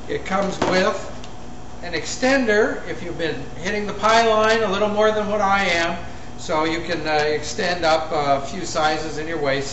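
Middle-aged American salesman, distant roomy sound. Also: on the high side. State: voice a little raw from talking all day. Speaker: salesman presenting products. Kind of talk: informal product demonstration. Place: indoor room in front of a banner. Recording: roomy, noisy, distant camera pickup.

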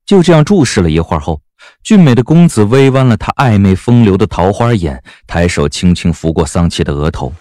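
Very deep, expressive male story narrator. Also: a little fast, no echo, studio quality. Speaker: audiobook narrator. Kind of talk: scripted dramatic storytelling narration.

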